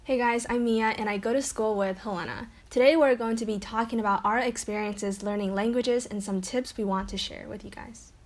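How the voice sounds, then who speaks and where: A teenage girl from China whose first language is Chinese, co-hosting a podcast in a measured, thoughtful way. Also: on the high side, steady tone. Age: teens. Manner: measured, thoughtful, affirming "mm-hmm". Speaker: podcast co-host. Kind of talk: casual chat.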